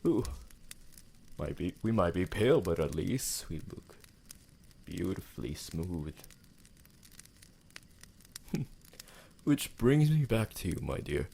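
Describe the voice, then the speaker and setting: Man voicing a very deep, gravelly vampire lover. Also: sing-song, mellow, heavily acted. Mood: adoring. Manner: slow, formal, tender, theatrical endearments. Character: devoted, possessive, romantic immortal male vampire lover. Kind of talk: ASMR roleplay monologue.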